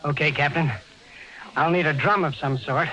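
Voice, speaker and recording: A man's voice: fairly high pitch, fairly even intonation, normal speed, sharp tone. A man playing a deferential, earnest expedition translator in a 1950s radio drama, speaking respectfully. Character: eager, deferential young expedition crewman and translator. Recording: dull vintage radio recording, music behind.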